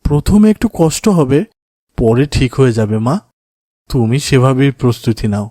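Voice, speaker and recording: Male actor as anguished, devout village father, cartoon melodrama. Mellow tone, pleading delivery, dry recording. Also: medium pitch, normal speed, no echo, natural voice. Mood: gentle, resolute. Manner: pleading, emotive, often invoking God.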